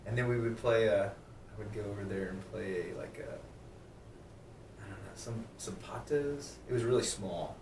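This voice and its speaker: A man's voice: fairly low pitch, moderate pace, darkish tone, roomy sound, far from the mic, slight background noise. Laid-back man in his thirties. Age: 30s. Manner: easygoing, laughs often, agreeable. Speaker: podcast guest, sales manager. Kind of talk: casual chat.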